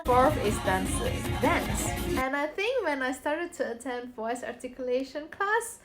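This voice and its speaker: Giggly young woman over music. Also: on the high side. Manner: giggly, laughs often between sentences. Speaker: former voice acting student vlogging. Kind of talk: casual chat. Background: background music.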